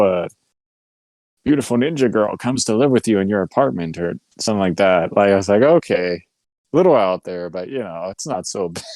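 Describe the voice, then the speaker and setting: Low-pitched man in casual chat. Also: darkish tone, dry recording. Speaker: podcast co-host. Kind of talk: casual chat among friends.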